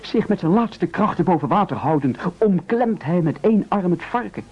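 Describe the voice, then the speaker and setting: A man's voice: high-pitched, animated, normal speed, breathy edge. An actor playing a timid, plaintive castaway mother's boy who speaks hesitantly, in a dull radio play recording. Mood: timidly hopeful.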